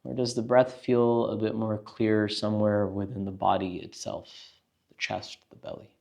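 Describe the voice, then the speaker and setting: A man leading a guided meditation as a teacher, speaking in a calm, gentle, unhurried way with long pauses. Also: lowish voice, vocal fry.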